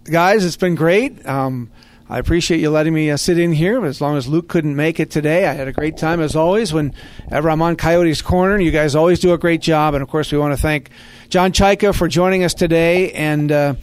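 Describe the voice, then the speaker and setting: A man's voice: a little high, a little fast. A man hosting a casual podcast, talking in an affable, complimentary way. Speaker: guest host, radio play-by-play announcer.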